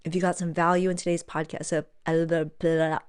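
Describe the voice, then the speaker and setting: A woman hosting a podcast in a casual, animated way, encouraging listeners as she talks to them informally. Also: low-pitched, normal speed, clear, resonant tone. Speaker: podcast host.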